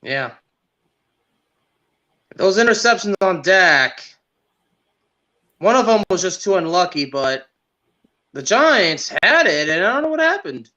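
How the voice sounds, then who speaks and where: High-voiced man talking sports casually. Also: very animated, measured pace, pauses often, pushed and bright. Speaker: sports podcast co-host. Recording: dull, lo-fi sound.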